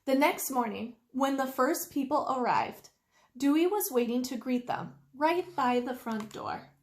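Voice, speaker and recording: A woman reading a children's story aloud expressively, with deliberate, drawn-out emphasis. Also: high voice. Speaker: storytime reader. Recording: roomy indoor echo.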